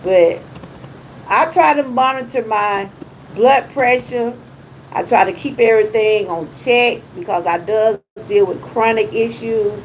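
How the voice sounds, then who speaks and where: A woman's voice: a little high, unhurried, slight background noise, poor audio. Chatty Southern woman in her fifties. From Georgia, USA. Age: 50s. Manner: chatty, exclamatory, folksy. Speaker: wellness vlogger. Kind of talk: casual livestream chat.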